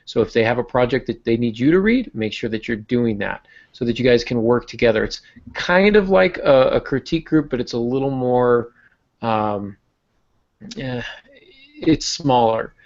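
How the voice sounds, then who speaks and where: Gravelly-voiced man hosting a casual podcast. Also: mid-range voice, animated, moderate pace, highs cut off. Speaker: podcast host and author.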